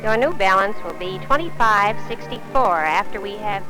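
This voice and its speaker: An actress playing a polite, formal American telephone company service representative in a 1950s training film. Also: female voice, mid-range voice, expressive, normal speed, close to an everyday voice, rough recording. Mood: polite, businesslike. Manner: courteous, formal, carefully phrased. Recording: 1950s film soundtrack, music behind.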